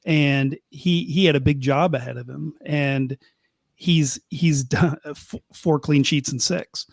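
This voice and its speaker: A man co-hosting a soccer podcast, laying out an argument in quick bursts and repeating words as he builds it. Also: fairly high pitch, steady tone, gravelly, no room sound, studio quality. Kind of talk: casual analytical chat.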